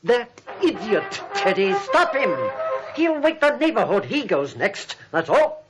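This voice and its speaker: Sinister criminal, high, swooping voice. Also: male voice, very animated, a little slow, over loud music, heavily acted. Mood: furious, urgent. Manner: theatrical, commanding. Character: sinister, menacing criminal brother, imperious and cold. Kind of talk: 1940s radio drama acting. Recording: old 1940s radio recording.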